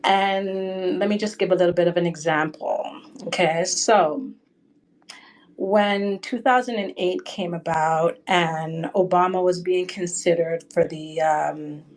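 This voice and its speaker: A woman's voice: on the low side, fairly even intonation, unhurried, poor audio. Chatty Canadian woman caller. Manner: chatty, rambling, keeps adding okay and right. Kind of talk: call-in discussion.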